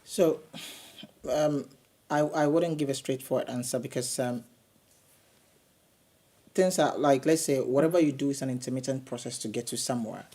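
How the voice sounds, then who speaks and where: A man's voice: mid-range voice, moderate pace, pauses often, warm tone. A man who is a city councillor, answering interview questions hesitantly, often repeating words.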